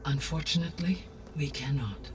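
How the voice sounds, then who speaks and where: An actor voicing a calm, benevolent male artificial intelligence in a game, speaking formally and precisely in measured phrases. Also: medium pitch, recorded from a distance, slight background noise, lightly acted. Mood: measured, explanatory. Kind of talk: scripted game cutscene dialogue.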